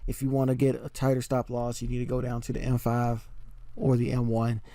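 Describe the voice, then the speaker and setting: Male trading tutor, mellow low-key delivery, even and flat, lots of ums, slightly worn voice, dry close mic. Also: a little fast, no echo. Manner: relaxed, filler-heavy explaining with frequent "um" and "okay?". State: slightly losing his voice. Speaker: forex trading educator. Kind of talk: casual screen-share chart walkthrough.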